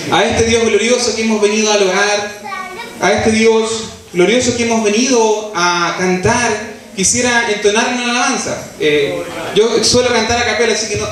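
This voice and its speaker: A man's voice: sits high, moderate pace, a bit bright, very echoey. A man from Chile with a Chilean accent, preaching a solemn, emphatic sermon and singing a hymn a cappella in a church. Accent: Chilean Spanish. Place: church sanctuary.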